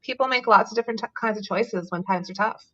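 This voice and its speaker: A woman speaking as a guest author over a remote call. Her talk is thoughtful and loose, with lots of ums and small laughs. The audio sounds muffled and lo-fi, and her pitch sits in a middle range. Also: quick pace, slightly rough. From Canada. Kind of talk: conversational interview answers.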